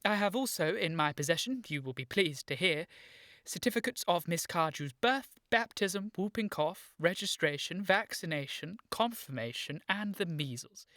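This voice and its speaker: An actor playing an earnest, indignant upper-class English gentleman in a radio comedy, with formal and precise politeness. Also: sits high, expressive, moderate pace, dry recording, clean studio sound. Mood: icily polite. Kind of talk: radio play comedy of manners.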